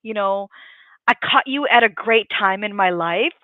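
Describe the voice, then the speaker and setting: A woman's voice: medium pitch, a little slow, no echo. A woman hosting a casual live interview, effusive and gushing, rambling with lots of fillers. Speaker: interview host. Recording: dry, tinny phone-like line.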